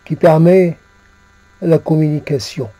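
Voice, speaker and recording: A man reciting poetry in a solemn, halting manner, repeating phrases as he goes. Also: on the high side, dark tone, no room sound. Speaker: poet reciting own poem.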